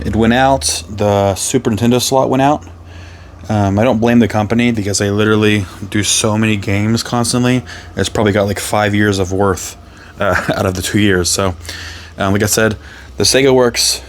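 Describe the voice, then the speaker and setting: A man with a hoarse, raspy voice, casually chatting through a reseller vlog, rambling with lots of ums and self-corrections. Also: fairly low pitch, slight background noise. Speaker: reseller vlogger. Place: his resale booth and home workspace.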